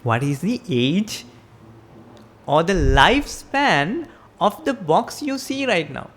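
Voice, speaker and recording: Slow, lively-toned woman giving a sermon. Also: on the low side. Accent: Sri Lankan. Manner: questioning, explains step by step with rhetorical questions. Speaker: Buddhist teacher.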